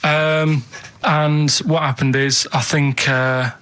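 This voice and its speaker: A man's voice: on the high side, little pitch movement, takes it slowly, fairly bright tone, smooth. A man, a radio show regular, telling an absurd anecdote in an earnest, rambling, unperturbed way in casual studio banter.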